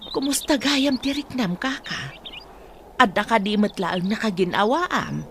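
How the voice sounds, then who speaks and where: An actress with a Filipino accent playing a concerned, caring sister in a radio drama. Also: female voice, mid-range voice, lively intonation, measured pace, noisy background, close to an everyday voice. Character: concerned, caring woman visiting her sibling.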